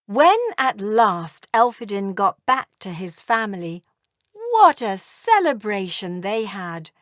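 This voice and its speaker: A woman narrating a children's story aloud in an expressive, deliberate storytelling manner. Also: medium pitch, no echo, studio quality.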